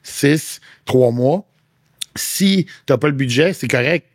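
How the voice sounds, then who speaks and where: A man with a Québécois accent speaks in a mid-range voice, frank and animated, a fitness coach chatting casually as a guest. He drops English slang into his talk. The sound is dry and close. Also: mid-range voice, takes it slowly, no echo. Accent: Québécois French. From Quebec. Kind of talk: casual interview chat.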